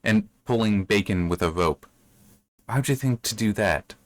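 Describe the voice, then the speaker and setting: Male book commentator, lowish pitch, dry sound; casual, puzzled, meandering delivery with halting repeats. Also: no echo. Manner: bemused, rambling, halting with repeated words. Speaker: book reviewer reading and commenting. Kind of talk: casual unscripted commentary on a story.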